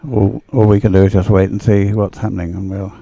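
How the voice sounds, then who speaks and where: A man commentates live on racing in a soft-edged, mellow voice. His delivery is unscripted and halting, often hesitating and wandering as he goes. The sound is dry, with very little room around him. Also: fairly low pitch, little pitch movement, creaky, husky, no room sound. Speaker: race commentator.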